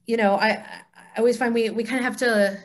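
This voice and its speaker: A woman's voice: on the high side, steady tone, brisk. A woman leading an informal group discussion on a video call, encouraging and affirming as she teaches. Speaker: discussion leader of a temple group.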